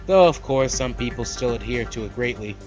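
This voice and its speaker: A man hosting a let's play, reading a visual novel aloud with animated, slangy casual asides. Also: medium pitch, brisk. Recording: loud background music. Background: game music.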